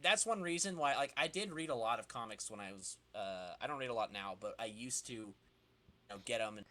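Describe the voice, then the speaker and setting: Bright-voiced man in casual podcast chat. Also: medium pitch, animated, brisk. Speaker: podcast co-host. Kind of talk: casual chat about comic books.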